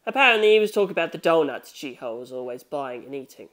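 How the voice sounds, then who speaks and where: A man's voice: a little high, lively intonation, fast talker, a bit bright. A man reading scripted fiction as an audiobook narrator.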